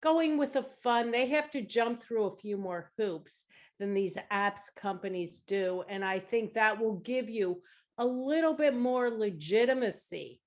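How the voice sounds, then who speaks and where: A woman co-hosting a finance podcast, chiming in with measured warnings and questions. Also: mid-range voice. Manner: measured, cautionary. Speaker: podcast co-host. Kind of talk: casual finance talk.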